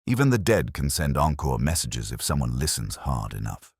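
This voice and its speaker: A man voicing a Victorian male detective in an audiobook, cool, cryptic and shrewd, speaking in deliberate, commanding aphorisms. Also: a bass voice, big pitch swings, breathy edge, dry recording, clean studio sound, voice pushed down, exaggerated voice.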